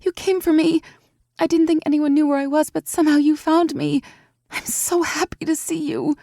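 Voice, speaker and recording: High young winged heroine, emotional, eager, played by a woman, dry close reading. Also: fairly even intonation, brisk, gravelly, warm tone, no echo. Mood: overjoyed.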